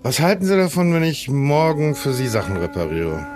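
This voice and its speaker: A man's voice: medium pitch, very animated, moderate pace, over music, natural voice. A man playing a polite, practical, slightly scatterbrained pirate in an improvised audio drama, casual in manner.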